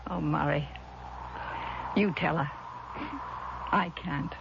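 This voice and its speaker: A muffled, noisy old radio drama has a wronged sister who is calm and quietly resolute. She speaks slowly at a medium pitch, with composure. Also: vocal fry, exaggerated voice. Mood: composed, regretful. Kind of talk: 1970s radio drama acting.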